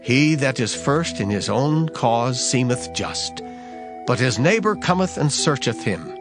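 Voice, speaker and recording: A man giving a solemn, measured, expressive dramatized reading of scripture. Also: medium pitch. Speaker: scripture reader. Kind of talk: dramatized Bible audiobook reading. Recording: guitar music behind the voice. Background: plucked guitar music.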